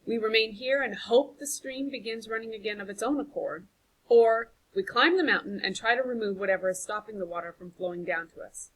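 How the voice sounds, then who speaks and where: Orchard keeper, male, read by a woman: storybook, decisive, measured, husky edge. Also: on the high side, a bit bright, rough recording. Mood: grave.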